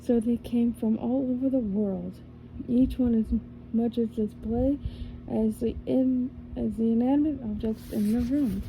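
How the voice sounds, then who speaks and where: A woman with a slightly high voice reads a fairy tale in an unhurried ASMR style, her words drawn out slowly. She sometimes drops to a whisper and corrects her own small slips. Clear background noise sits under the recording. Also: fairly even intonation, mellow, rough recording. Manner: slow, careful reading with small slips and self-corrections. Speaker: ASMR reader. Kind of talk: soft ASMR storybook reading.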